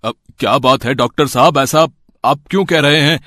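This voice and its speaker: A man's voice: mid-range voice, moderate pace, bright, forceful tone, no echo, studio quality, close to an everyday voice. A man playing a shopkeeper and father with plain, earnest delivery in an amateur moral story. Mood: anxious. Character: greedy shopkeeper turned anxious, pleading father.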